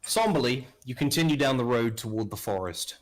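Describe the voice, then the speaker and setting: A man's voice: mid-range voice, animated, fairly quick. A man in his thirties narrating a live online tabletop game as game master. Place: indoors at home, on webcam.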